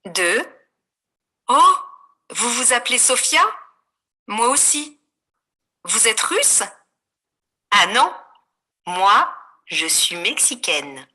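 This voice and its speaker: A woman voicing a scripted beginner dialogue for a French textbook, slow and clearly articulated. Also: a little high, sing-song, sharp tone. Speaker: voice on course audio.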